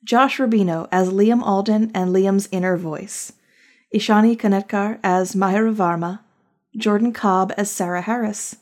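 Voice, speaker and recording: A woman's voice: mid-range voice, steady tone, moderate pace, darkish tone. A woman reading scripted end credits as the show's writer.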